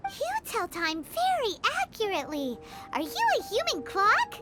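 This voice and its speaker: A woman's voice: huge pitch swings, normal speed, full character voice. A woman voicing a tiny, cheeky female fairy companion in a video game, teasing and blunt. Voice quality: high, squeaky, childlike. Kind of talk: video game cutscene dialogue. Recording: game audio with loud music behind.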